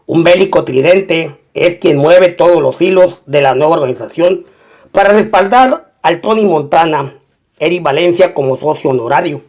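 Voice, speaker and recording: A man's voice: on the high side, moderate pace, darkish tone. A man narrates a scripted crime story. He tells it documentary style, dropping in colloquial slang along the way.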